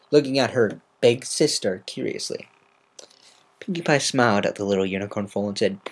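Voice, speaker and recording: An amateur narrator giving a semi-dramatic reading of a scripted story, occasionally stumbling over words. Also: medium pitch.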